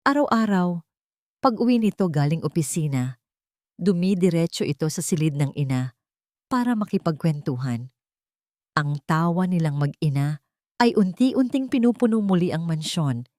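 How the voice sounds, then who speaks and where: A woman's voice: fairly low pitch, animated, normal speed, pauses often, a little mellow, no echo, clean studio sound. A woman reading a scripted, dramatic audio story as its storyteller.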